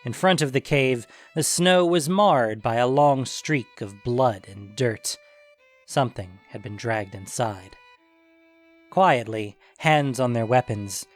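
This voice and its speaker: A man reading scripted fantasy story narration as the narrator. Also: mid-range voice. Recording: music behind the voice.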